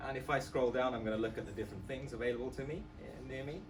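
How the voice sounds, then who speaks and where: A man presents his app in a relaxed, chatty way, filling pauses with plenty of ums and uhs. His voice sits at a middle pitch. He is heard from some distance off the mic. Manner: casual, chatty, with many fillers. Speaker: student presenter. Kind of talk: live app demo walkthrough. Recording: voice from far off the mic.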